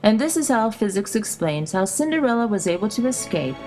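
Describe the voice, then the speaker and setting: A woman narrates with a lively, varied pitch over clear background music. Her delivery is scripted and storybook-like, in the explanatory style of an educational video, at a medium pitch. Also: a little fast.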